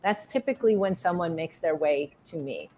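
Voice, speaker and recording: A woman's voice: fairly low pitch, expressive, moderate pace, a little mellow, slight background noise. A woman guest on a podcast, a spiritual healer speaking earnestly about her work. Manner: earnest, emphatic repetition. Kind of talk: casual interview.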